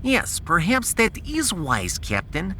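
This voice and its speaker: A man's voice: sits high, huge pitch swings, slow, pushed and bright, slight background noise, voice pushed up, heavily acted. A man voicing an earnest, thoughtful starship scientist in a science fiction audiodrama.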